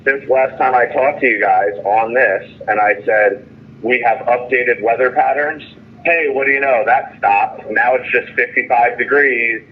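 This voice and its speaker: A man's voice: medium pitch, unhurried, husky. A man calling in over a noisy phone line, complaining in a frustrated, emphatic way and repeating his phrases. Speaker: jailed caller. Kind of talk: phone call venting about jail and legal case. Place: phone call from jail. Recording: tinny phone line with noise.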